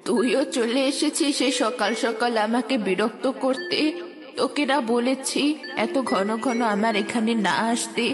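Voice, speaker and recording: A woman's voice: on the high side, measured pace, long reverb tail, close to an everyday voice. A woman voicing a stubborn, self-reliant old village woman in a children's cartoon. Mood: gruff, relenting. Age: older. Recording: music behind the voice.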